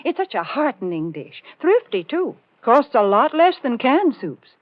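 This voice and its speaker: A woman's voice: sits high, big pitch swings, measured pace, dry recording. A woman doing a folksy, scripted 1940s radio commercial as announcer. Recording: muffled 1940s radio broadcast.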